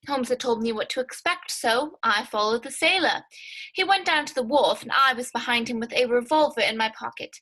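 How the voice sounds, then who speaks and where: A woman's voice: fairly high pitch, sharp tone, low-quality recording. A woman playing a detective's loyal, modest male friend in a 1940s-style radio play, with an earnest manner.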